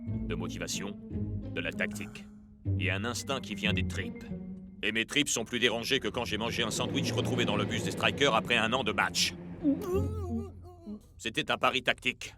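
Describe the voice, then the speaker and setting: A man playing a gruff, determined football coach in a French cartoon dub. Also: fairly low pitch, normal speed, long pauses, over loud music, lightly acted. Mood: suspicious, determined.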